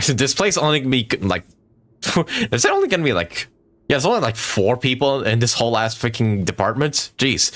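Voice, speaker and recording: A man's voice: medium pitch, very animated, moderate pace, fairly bright tone. A man giving casual live gameplay commentary as a streamer, chatty and rambling, with a lot of "like". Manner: chatty, rambling, chuckles often, says like a lot. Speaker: game streamer. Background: game music.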